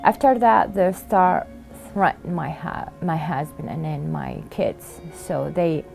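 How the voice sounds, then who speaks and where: A middle-aged woman with an Iraqi Arabic accent telling her own story in an interview, halting, with many fillers and pauses. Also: low-pitched, vocal fry, a little mellow, soft background music. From Iraq. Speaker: refugee sharing her story. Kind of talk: interview testimony.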